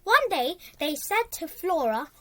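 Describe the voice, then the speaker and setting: A young child reads a storybook aloud in a high voice that swoops expressively. The pace is slow and halting, with words sometimes repeated or corrected. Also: very animated, bright, forceful tone. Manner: halting, stumbles and rereads words. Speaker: storybook reader.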